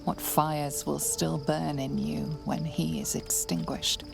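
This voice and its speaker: An actress playing a solemn, ominous storyteller, narrating a dark fantasy game in a hushed, grave, deliberate way. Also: female voice, sits low, little pitch movement, gravelly, heavily acted. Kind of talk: dramatic second-person game narration. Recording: music under the voice.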